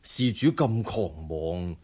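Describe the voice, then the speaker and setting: An actor playing a serene, dignified Buddhist monk in a radio drama, measured and composed, with a Hong Kong Cantonese accent. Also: male voice, low-pitched, lively intonation, a little mellow, husky, exaggerated voice. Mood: calm, assured.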